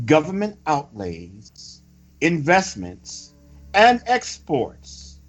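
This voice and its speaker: A man reading a scripted sermon-like report in a solemn, formal, measured oratorical manner. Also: medium pitch. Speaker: religious reader delivering a prophecy report. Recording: dull lo-fi recording with music behind. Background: music.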